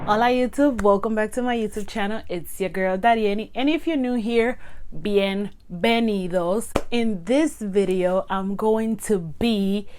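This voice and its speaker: A woman's voice: mid-range voice, a little slow, clear, resonant tone. Chatty Guatemalan woman vlogging from her bedroom. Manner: chatty and animated, gesturing with her hands. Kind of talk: casual to-camera teaching. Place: small bedroom indoors.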